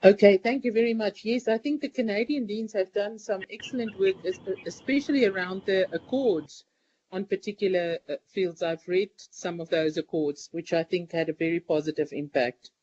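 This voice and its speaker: A man's voice: high voice, normal speed, a little mellow. A man moderating a conference call, giving brief, courteous thanks and acknowledgements. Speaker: meeting convener. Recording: dull lo-fi call audio with faint noise.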